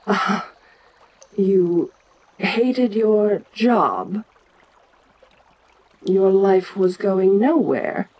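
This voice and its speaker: An ancient giantess monster queen played by a woman in an audio roleplay. She speaks slowly and deliberately at a middle pitch, stately and domineering. Her pride comes with curiosity about the small human she addresses. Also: dark tone. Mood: measured, questioning. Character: ancient giant female kaiju queen, proud, imperious, curious.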